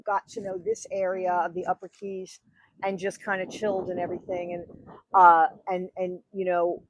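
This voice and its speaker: New york lady in her 60s rambling through her story, lots of ums, low-ish voice. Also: normal speed, poor audio. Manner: chatty storyteller, frequent fillers and restarts. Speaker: wedding officiant guest. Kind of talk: podcast interview.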